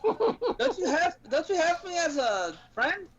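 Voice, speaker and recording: Male gaming co-host, notably high voice, informal and a bit halting, muffled lo-fi chat sound. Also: big pitch swings. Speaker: live stream co-host. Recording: dull lo-fi voice-chat audio.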